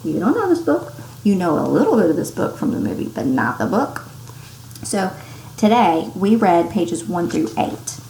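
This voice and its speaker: A woman teacher reading a story aloud to children in an expressive, animated way with dramatic emphasis. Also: lowish voice, normal speed. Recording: echoey room with clear background noise.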